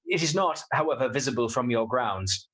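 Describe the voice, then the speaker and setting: An actor playing a polite, earnest young gentleman in a radio play, speaking precisely and formally. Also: mid-range voice, lively intonation, normal speed, lightly acted. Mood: businesslike. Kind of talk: radio play acting with diary narration.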